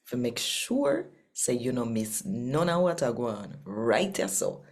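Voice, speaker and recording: Jamaican lady reading scripture out loud, low voice, takes her time, real storyteller delivery. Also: big pitch swings, measured pace. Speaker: host and scripture reader. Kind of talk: expressive scripture reading and video narration.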